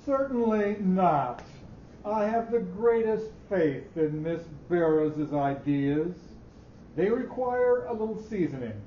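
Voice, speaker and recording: A high-voiced pompous old company boss. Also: male voice, lively intonation. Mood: pompous, insistent. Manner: slow, pompous. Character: pompous old male company president, stubbornly trusting. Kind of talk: staged dramatic reading of a short story. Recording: muffled, echoey hall with faint noise.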